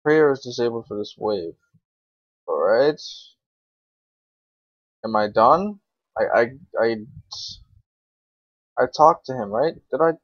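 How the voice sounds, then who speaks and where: A man's voice: mid-range voice, lively intonation, slow, long pauses, no echo. A young adult man giving casual gameplay commentary in an informal, grumbling, sweary way. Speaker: gaming video creator. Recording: dull lo-fi microphone, dry.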